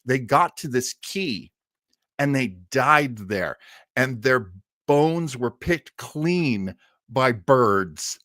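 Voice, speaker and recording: A man's voice: mid-range voice, a bit bright, dry recording, clean studio sound. A man hosting an old-time radio show, chatting casually and enthusiastically as he introduces a story in a rambling way.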